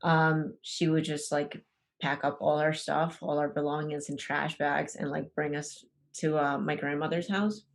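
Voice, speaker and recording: A young woman from Ecuador speaks in a low register, telling her story slowly and haltingly. She pauses often, restarts her sentences and fills the gaps with "um" and "like." It sounds like a personal podcast conversation. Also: level tone, gravelly. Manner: hesitant, many fillers and restarts. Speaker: podcast guest.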